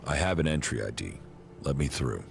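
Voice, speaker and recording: Gruff, curt warrior commander for a game; rock-bottom pitch, gravelly, clipped and flat delivery. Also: male voice, brisk, faint music behind, full character voice. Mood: matter-of-fact. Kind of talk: video game character dialogue.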